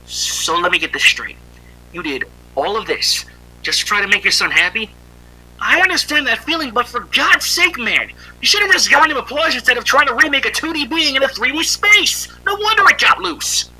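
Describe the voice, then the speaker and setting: A man's voice: very high voice, animated, moderate pace, sharp tone, a little hiss or hum, exaggerated voice, poor audio. A man playing a blunt cyborg hedgehog from the future in an amateur horror audio drama. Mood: exasperated.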